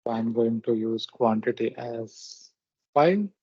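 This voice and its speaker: A man with a mellow voice presents a software walkthrough at a slow pace. He goes step by step in short, halting phrases. The recording is dry and close. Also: medium pitch, expressive, husky, dry recording. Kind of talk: step-by-step screen demonstration narration. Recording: dull, lo-fi close microphone recording.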